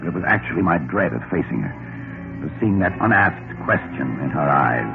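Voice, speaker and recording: An actor playing an anxious, guilt-ridden man in a 1940s radio mystery, speaking in a tense, halting, dramatic way. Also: a bass voice, animated, vocal fry, full character voice. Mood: brooding. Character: anxious guilt-ridden man under blackmail, narrating his own story. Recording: tinny old radio recording, loud music.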